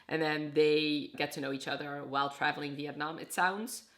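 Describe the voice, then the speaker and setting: A young woman from Iraq talks casually as a book reviewer, summing up plots. Her voice sits low. She often stops and corrects herself mid-sentence. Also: fairly even intonation, moderate pace, a bit bright. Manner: chatty, self-correcting, summarizing. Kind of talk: casual booktube chat.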